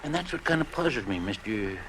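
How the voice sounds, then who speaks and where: A man's voice: lowish voice, expressive, a little fast, fairly bright tone. A man acting a folksy, loyal, talkative dock keeper with rustic, homespun speech in an old radio drama. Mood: helpful, puzzled. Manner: rustic, ungrammatical, homespun way of talking. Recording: noisy vintage radio recording.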